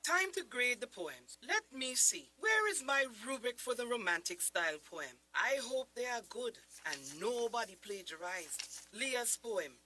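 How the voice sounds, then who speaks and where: A woman's voice: on the high side, animated, a little slow, pushed and bright, low-quality recording. A woman playing an earnest, concerned schoolteacher in a radio drama. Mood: businesslike. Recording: clearly hissy, humming recording.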